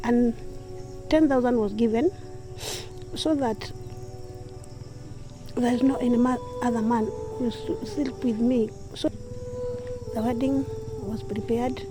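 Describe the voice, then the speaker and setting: A young woman, telling her own story in a fairly high voice. She talks haltingly and often restarts mid-sentence. Clear music plays behind her. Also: poor audio. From Kenya. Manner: halting, with restarts and self-corrections. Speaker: interviewee. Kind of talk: personal testimony. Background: background music.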